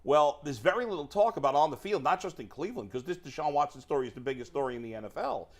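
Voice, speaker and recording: Plainspoken man with a highish voice. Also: animated, fast talker. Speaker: sports show panelist. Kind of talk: sports panel discussion.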